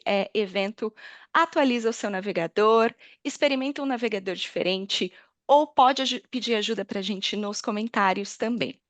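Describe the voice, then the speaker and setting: A woman's voice: medium pitch, dry recording. A woman with a Brazilian Portuguese accent hosting an online webinar in an informal, welcoming, chatty way. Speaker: webinar host, senior marketing manager. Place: online video call.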